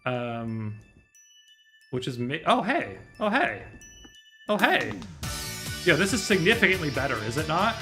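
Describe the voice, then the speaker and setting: A man's voice: a little high, sing-song, measured pace, long pauses, bright, forceful tone. Casual male streamer with music behind him. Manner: slangy, thinking aloud, mild swearing. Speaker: livestreamer playing a visual novel. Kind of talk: casual livestream chat while troubleshooting.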